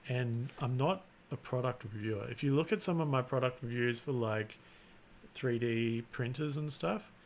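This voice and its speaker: A man hosting a live stream, chatting casually in a flat, rambling way and often restarting his sentences. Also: mid-range voice, moderate pace, slight background noise. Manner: self-described monotone, rambling with restarts. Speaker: live-stream host and content creator.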